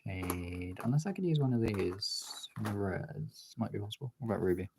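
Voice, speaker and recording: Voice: low-pitched, moderate pace, gravelly, noisy background. A streamer chatting casually while drawing, rambling and thinking aloud in a self-deprecating way.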